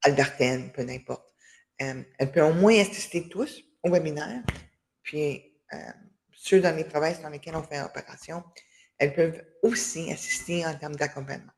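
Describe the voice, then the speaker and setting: A woman's voice: low-pitched, moderate pace, gravelly, a little mellow. A woman hosting an online workshop over a video call, speaking with frequent fillers and restarts. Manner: hesitant, many fillers and restarts. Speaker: webinar host for a women's enterprise organization. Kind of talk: closing remarks of an online workshop.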